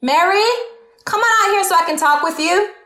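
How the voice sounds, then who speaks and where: A woman's voice: very high-pitched, moderate pace, bright, forceful tone. A woman playing a protective, welcoming mother in an audio drama, with a folksy, direct manner, in an echoey room.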